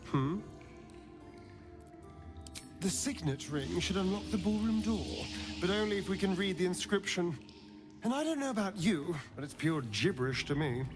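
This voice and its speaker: Sardonic vampire, biting, theatrical, very lively intonation, video game scene, music behind. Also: male voice, high-pitched, moderate pace, pauses often. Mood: wry, wary. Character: sardonic, bitter male vampire spawn, two centuries old. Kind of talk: video game character acting.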